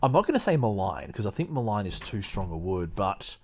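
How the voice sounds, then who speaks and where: A man with a fairly low voice hosts a podcast, moving between reading aloud and casual commentary. His delivery is halting and emphatic, like someone thinking through an argument as he talks. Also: very animated, slight background noise. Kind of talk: casual commentary mixed with read-aloud passages.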